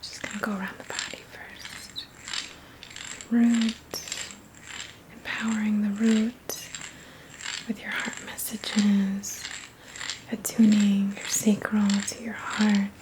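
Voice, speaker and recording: A woman giving a whispered ASMR reiki session as a practitioner, murmuring soothing short phrases with pauses between them. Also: mid-range voice, monotone, noisy background.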